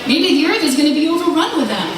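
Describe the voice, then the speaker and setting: Woman playing a sharp-tongued reporter, very echoey. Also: high voice, sing-song, quick pace, a crowd in the background. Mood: sarcastic, argumentative. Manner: sarcastic, argumentative, emphatic. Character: sharp-tongued, stubborn female newspaper reporter. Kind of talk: old-time radio drama acting. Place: live stage recreation in a large hall. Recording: echoey hall from far off, noisy.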